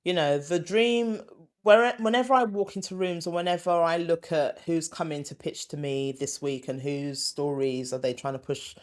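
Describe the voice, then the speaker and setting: A woman, born in Ghana, a former TV comedy commissioner answering as a guest, emphatic and animated. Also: low-pitched, normal speed. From born in Ghana, raised in West London. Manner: emphatic and animated, acts out quotes. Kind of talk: conversational interview answer.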